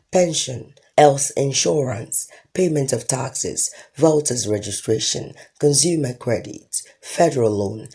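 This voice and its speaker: A woman with a husky voice, reading scripted documentary narration. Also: a bass voice, warm tone. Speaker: reporter narrator.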